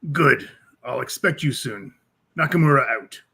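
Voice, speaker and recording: A man playing a gravelly, brusque admiral. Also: mid-range voice, animated, measured pace, background noise. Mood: stern, needling. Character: stern, brusque senior male admiral.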